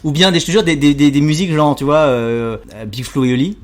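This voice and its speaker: A man's voice: on the high side, a little fast. A man in his thirties, a guest in a casual interview, talking in a loose, profane way full of restarts. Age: 30s.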